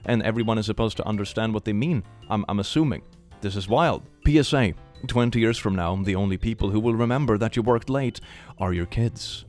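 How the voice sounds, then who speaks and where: A man from Sweden doing comedic reaction commentary, reading posts aloud with mock drama and sarcastic, incredulous asides. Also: fairly low pitch. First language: multilingual, not native English. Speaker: YouTube commentary host.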